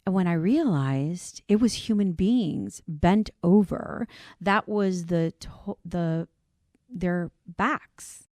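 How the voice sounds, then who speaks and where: A woman's voice: lowish voice, slow, no room sound, studio quality. A woman with a Cuban accent, a business owner guest on a podcast, telling her story in an earnest, heartfelt way. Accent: Cuban Spanish. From Cuban family, grew up on the US East Coast. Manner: earnest, emotional, lively when amused. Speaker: podcast guest, insurance brokerage CEO. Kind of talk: conversational interview storytelling.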